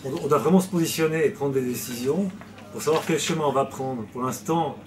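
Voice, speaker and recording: A man's voice: mid-range voice, a little fast. Hesitant Swiss man, noisy backdrop. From Switzerland. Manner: hesitant, many fillers and restarts. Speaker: novelist being interviewed. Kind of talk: casual interview answers.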